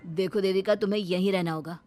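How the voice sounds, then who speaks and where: A woman's voice: medium pitch, fairly even intonation, quick pace, dark tone, pitched below the performer's own voice, lightly acted. A woman playing a young husband in a moral story, obedient to elders and bossy to his wife. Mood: firm, exasperated. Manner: placating elders, firm with wife. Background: music.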